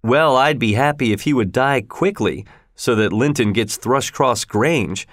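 Male narrator as cruel older man, medium pitch, menacing, bitter, commanding, storybook villain, dry sound. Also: a little slow, fairly bright tone, no room sound. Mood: cold, bitter. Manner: mock-polite, turning harsh and commanding. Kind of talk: audiobook character voice.

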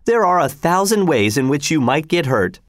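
A man's voice: on the high side, big pitch swings, normal speed, dry recording, studio quality, voice pushed up. A man reading a story voices a worried wife and mother who scolds and frets insistently, in a raised voice. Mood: scolding. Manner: nagging, fretful, insistent. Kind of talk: audiobook character reading.

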